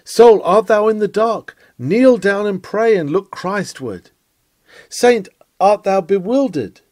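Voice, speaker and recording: A man's voice: sits high, expressive, takes it slowly, darkish tone, no room sound. A man reading a historical sermon aloud in an earnest, exhortatory and rhetorical manner, with dramatic delivery.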